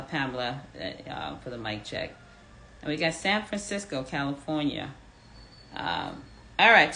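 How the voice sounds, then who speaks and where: Animated low-voiced woman in her fifties. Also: slight background noise. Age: 50s. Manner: reads out listener comments and asks questions to the room; animated, repeats questions, emphatic. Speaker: fitness coach hosting a live audio room. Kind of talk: live audience chat.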